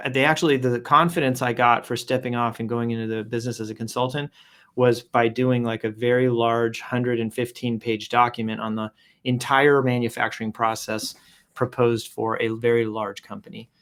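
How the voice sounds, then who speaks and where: A man's voice: medium pitch, fairly even intonation, moderate pace, fairly bright tone. A man hosting a show, casually reading posts aloud and improvising commentary with playful announcer flourishes and wry asides.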